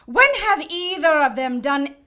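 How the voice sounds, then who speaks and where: A woman's voice: high-pitched, animated, unhurried, pushed and bright, full character voice. A woman playing a haughty, domineering cherub inquisitor in an audio drama, with an imperious, mocking manner. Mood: accusing.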